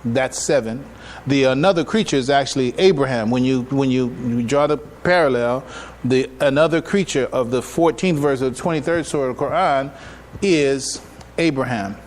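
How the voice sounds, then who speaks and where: A man giving a religious public address as an imam, teaching with emphatic rhetorical questions, repetitions and deliberate, halting phrasing. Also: mid-range voice, slight background noise. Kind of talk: religious lecture, teaching to an audience.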